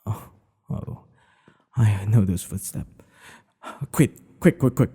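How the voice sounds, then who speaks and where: A man playing a tender, devoted king in an intimate ASMR roleplay, speaking in an earnest, hushed, halting way. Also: on the low side, husky, big-room sound, heavily acted. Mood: urgent. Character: tender, devoted male monarch hiding a secret lover.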